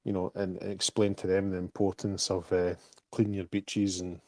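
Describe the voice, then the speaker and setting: A man's voice: low-pitched, little pitch movement, normal speed, mellow, no room sound. A man with a Scottish accent answering questions on a casual group call, speaking frankly and repeating words as he thinks. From Scotland. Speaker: project co-founder answering questions.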